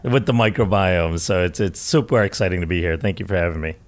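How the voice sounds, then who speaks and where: Enthusiastic low-voiced male scientist guest. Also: fast talker. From Malaysia and India. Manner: enthusiastic, rambling, laughs often. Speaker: guest microbiologist. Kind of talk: conversational interview answers.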